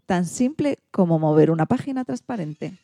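A woman hosts a radio show, reading her script. Her voice sits fairly low and has a soft, mellow color. The sound is close and dry. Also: expressive, moderate pace, gravelly, no echo. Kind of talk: scripted magazine-show presenting.

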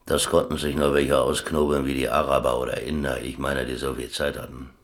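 An actor playing an old seaman in a radio play, reminiscing in a wry, colloquial, musing way with a gravelly voice. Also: a bass voice, flat delivery, brisk. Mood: musing, amused. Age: older. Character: old seaman reminiscing about chess, wry, rambling storyteller. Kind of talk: radio play monologue, colloquial first-person storytelling.

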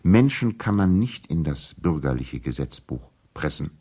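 An actor playing a courteous, idealistic male lawyer in a radio play, earnest and gently ironic, speaking in a formal, measured, eloquent way. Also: a bass voice, big pitch swings, breathy edge, full character voice. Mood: earnest idealism. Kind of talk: radio drama letter monologue.

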